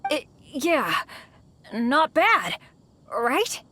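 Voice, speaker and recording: A woman voicing an earnest, excitable, boyish teenage adventurer in a video game. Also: high-pitched, very slow, no room sound, heavily acted. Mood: sheepish. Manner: boyish, excitable, dramatic storytelling. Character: earnest, eager, unlucky teenage boy adventurer.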